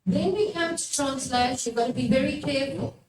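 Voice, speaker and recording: The voice is a woman's, set high, speaking as a workshop presenter who urges and questions her audience. She is picked up from a distance in an echoing room, with some background noise. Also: fairly quick. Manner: exhortatory, puts questions to the listeners. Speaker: workshop presenter, religious formator. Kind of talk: live workshop teaching talk to an audience. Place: echoey room at a live workshop.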